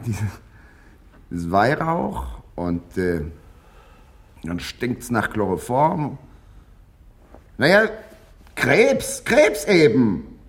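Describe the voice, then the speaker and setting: An actor playing a needy, petulant young man in a radio drama, husky, moving between sulky muttering and bombastic ranting. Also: mid-range voice, big pitch swings, extremely slow, long pauses, exaggerated voice. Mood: hesitant, flat. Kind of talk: theatrical radio drama acting.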